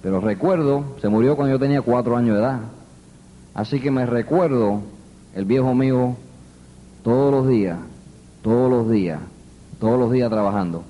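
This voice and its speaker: A man's voice: mid-range voice, moderate pace, pauses often, dark tone, long reverb tail, slight background noise, low-quality recording. An older man from New York giving an earnest motivational speech to a live audience. He tells the story with emphatic repetition. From New York, United States. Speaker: motivational speaker.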